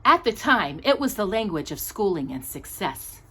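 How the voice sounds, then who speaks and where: Female storytime reader, husky tone, lively intonation, expressive emphasis for a picture book audience of children. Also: medium pitch. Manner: animated, emphatic storytelling with pauses on key words. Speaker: storytime host reading a children's book aloud.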